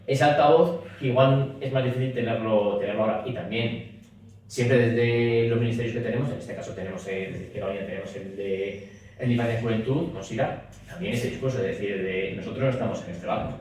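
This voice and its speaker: A man speaking at a political meeting, pushing his points rapidly and looping back with restatements, recorded in a meeting room. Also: fairly low pitch, a little mellow. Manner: rapid, talks in restarts with frequent "es decir". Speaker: speaker at a party talk. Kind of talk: public talk and Q&A. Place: meeting room at a party office. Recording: roomy echo, distant mic, faint hiss.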